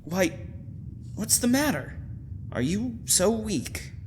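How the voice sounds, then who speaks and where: An actor playing a deranged, obsessive hotel keeper in a radio thriller, halting and softly insinuating with mock concern. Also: male voice, high voice, big pitch swings, background noise, heavily acted. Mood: quietly menacing. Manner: halting, softly insinuating, wide emotional swings. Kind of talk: old-time radio thriller acting.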